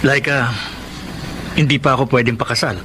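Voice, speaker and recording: An actor playing an evasive young Filipino boyfriend in a radio drama, speaking coaxingly. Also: male voice, mid-range voice, fairly even intonation, moderate pace, noisy background, natural voice.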